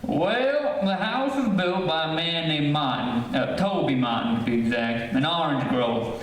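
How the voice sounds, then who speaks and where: A folksy, matter-of-fact real estate agent, acted by a man in a radio play. He has a slightly high voice and plain, hesitant delivery. He sounds distant, in a strongly reverberant room with faint noise. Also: expressive, unhurried. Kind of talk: 1940s radio acting.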